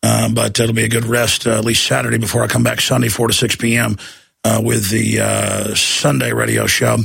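A radio talk show host, who is losing his voice, talking in an emphatic, ranting way that climbs high when he gets worked up. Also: monotone, normal speed, gravelly, a bit bright, husky. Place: radio studio. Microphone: studio microphone.

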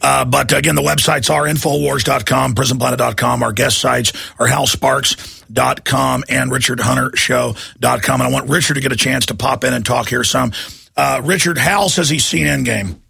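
A man hosting a talk radio show, with a deep, gravelly voice and a fast, emphatic way of talking. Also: fairly even intonation, pushed and bright, no room sound, clean studio sound.

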